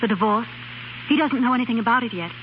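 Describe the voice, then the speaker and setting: An actress playing an anxious but stubborn young wife in a 1930s radio drama. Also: female voice, a little high, animated, quick pace, natural voice. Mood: uneasy, reserved. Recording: muffled, noisy 1930s radio recording.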